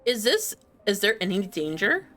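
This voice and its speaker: A woman doing casual gameplay commentary. Her voice is mid-range and very animated in pitch, and she muses aloud haltingly. Faint game music sits behind her. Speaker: game streamer.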